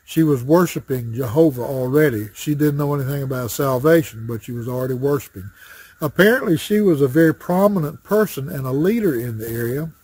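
An older man teaching a Bible study lesson outdoors in a wooded yard, talking in a plain, folksy way with frequent pauses, recorded on a camera at chest height. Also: medium pitch, expressive, darkish tone, breathy edge. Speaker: associate pastor teaching Sunday school. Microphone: webcam or phone framed at chest height. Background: crows cawing.

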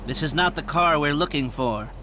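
A man's voice: a little high, moderate pace, lightly acted. A man playing a dry, cynical criminal planner in a video game, articulate and sardonic. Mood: matter-of-fact, sardonic. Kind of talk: video game dialogue acting. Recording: car and room noise behind.

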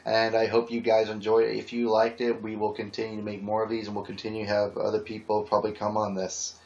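A man's voice: on the low side, completely flat delivery, fast talker, vocal fry, darkish tone. A man hosting a casual group fan fiction reading, talking and narrating on a computer mic. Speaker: host. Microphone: computer microphone on group voice call. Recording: muffled computer mic with background noise.

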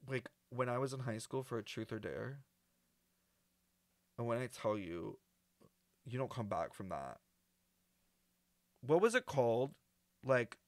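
A young man streaming his reactions, close on the mic with a dry sound. His voice is mid-pitched and his delivery is campy and theatrical, full of deadpan exaggeration. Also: dry recording. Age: young adult. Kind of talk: casual reaction commentary. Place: small indoor streaming room.

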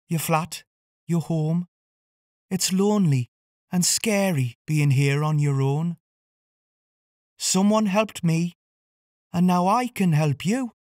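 A gentle, caring female character from a children's picture book, voiced by a narrator, speaking in a soft, coaxing voice. Her tone is lifted noticeably higher and sings up and down. It sits close and dry with no room around it. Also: dry recording.

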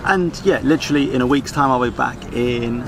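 A man's voice: mid-range voice, brisk. A man in his forties chatting casually to camera as a sailing vlogger. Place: outdoors on a sailing catamaran. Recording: noisy outdoor boat ambience.

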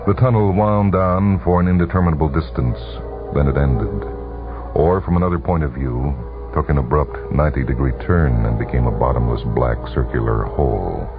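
A man reading a short story for radio in a measured, deliberate, suspenseful way. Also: rumbling low. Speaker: narrator. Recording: tinny, band-limited vintage radio broadcast. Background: music under the voice.

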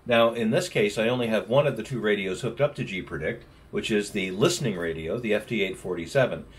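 A man's voice: lowish voice, a little fast. A man, giving casual explanatory commentary and radio call-outs in a home office. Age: 60s. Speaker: amateur radio operator, vlogger. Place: small home office, indoors. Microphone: camera a short distance away. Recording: noisy room, mic some distance away.

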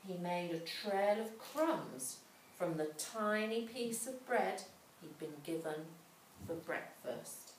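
A middle-aged woman reading a story aloud to a child, dramatically, with pauses and questions. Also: on the low side, animated. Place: large indoor school room with hard floor. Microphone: distant camera microphone. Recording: echoey room, distant mic, background noise.

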